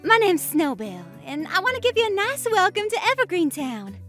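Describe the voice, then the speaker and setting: Gal playing a real high sweet snow girl, drawl, polite, music under it. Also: wildly swooping pitch, normal speed, a bit bright, exaggerated voice. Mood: friendly. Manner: polite, folksy, timid. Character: sweet gentle young snow woman.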